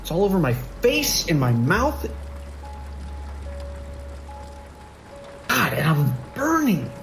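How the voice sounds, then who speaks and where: A man's voice: high-pitched, wildly swooping pitch, normal speed, creaky, fairly bright tone, faint music behind, heavily acted. A man playing a desperate police dispatcher under siege in a horror audio drama, talking in urgent, clipped, commanding emergency-radio style. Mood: panicked, horrified.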